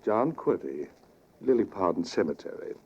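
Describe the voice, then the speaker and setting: A man's voice: fairly low pitch, big pitch swings, a little slow, slight background noise. An actor playing an unctuous, easily flustered cemetery salesman in a 1940s radio drama, obsequious and hesitant. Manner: obsequious, stammering. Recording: muffled 1940s radio broadcast.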